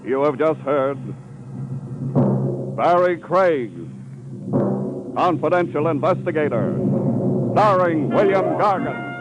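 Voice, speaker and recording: Loud brass and timpani music plays behind a male American announcer. He reads scripted credits in formal 1950s radio style. The recording is old and dull. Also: a little high, animated, slow, pauses often. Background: loud brass and timpani theme music.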